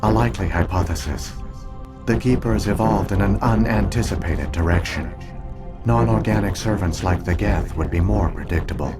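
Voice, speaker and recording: A very deep, grave alien artificial intelligence from a video game , acted by a man. It speaks in formal, measured phrases with the patient resignation of an old guardian. Music plays behind it. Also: close to an everyday voice. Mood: measured, informative. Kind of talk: video game voice acting, solemn exposition.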